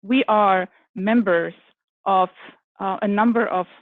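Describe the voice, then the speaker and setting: A woman with a German accent, an architecture firm executive speaking on a panel in a measured way, with frequent ums and self-corrections. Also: medium pitch, dry recording. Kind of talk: panel talk. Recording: dry, phone-like video call audio.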